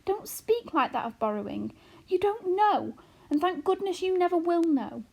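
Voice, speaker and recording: A woman's voice: extremely high pitch, big pitch swings, moderate pace, vocal fry, a little mellow, voice pushed up, exaggerated voice. A woman doing a fretful, tearful little mother. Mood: fearful. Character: anxious fretful tiny mother, middle-aged woman. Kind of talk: audiobook character reading.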